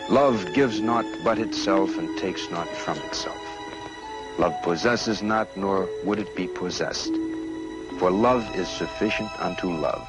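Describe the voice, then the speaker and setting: A man giving a measured, solemn scripted reading of poetic prose. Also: sits low, rough recording. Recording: voice over loud music.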